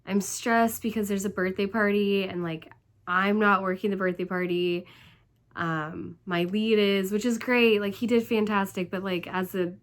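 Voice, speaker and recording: A woman with a hoarse, congested voice from laryngitis and a cold talks casually to her viewers. Her pitch sits in the middle of the usual range for women. She rambles along with plenty of "like" and "um". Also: steady tone, normal speed. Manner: rambling, chatty, full of "like" and "um". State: congested, stuffed up. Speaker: vlogger. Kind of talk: casual storytelling vlog.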